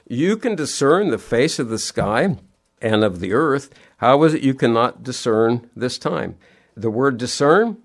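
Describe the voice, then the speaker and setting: A middle-aged man preaching a sermon, sounding nasal and sniffly from allergies, earnest and repeating his key words in plain homespun phrasing. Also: medium pitch.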